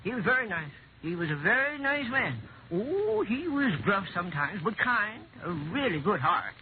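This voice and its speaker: An actor playing an excitable, boastful little down-on-his-luck man who rambles folksily in a 1950s radio drama. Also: high voice, moderate pace. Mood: eager, gossipy. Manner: rambling, folksy gossip with repeated "yeah, yeah". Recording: tinny, noisy vintage radio recording.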